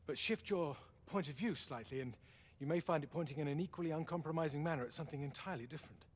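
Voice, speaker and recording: An actor playing a courtly, cerebral Victorian police commissioner in a video game, with a British accent and formal, musing phrasing. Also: male voice, on the high side, fast talker, close to an everyday voice. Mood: musing, deliberate. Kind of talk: video game cutscene acting.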